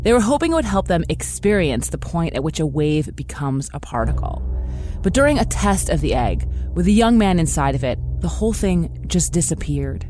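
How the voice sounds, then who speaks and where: A woman's voice: lowish voice, sing-song, normal speed, dark tone. A woman reading scripted narration, telling a story in a produced podcast. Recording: produced podcast clip with music underneath. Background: music bed.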